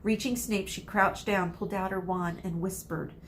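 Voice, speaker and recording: A woman narrating a children's story expressively, with dramatic emphasis and pauses for suspense. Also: mid-range voice, fairly even intonation, low-quality recording. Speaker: read-aloud storyteller, teacher. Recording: faint background noise.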